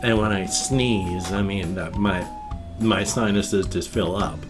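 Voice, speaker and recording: An older man talks in a folksy, meandering way, his voice nasal and congested with a faint wheeze. He chats casually at a home desk mic, and quiet guitar music plays softly behind him. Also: low-pitched, steady tone, takes it slowly. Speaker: vlog host. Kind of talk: rambling casual morning vlog. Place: small home room at a desk.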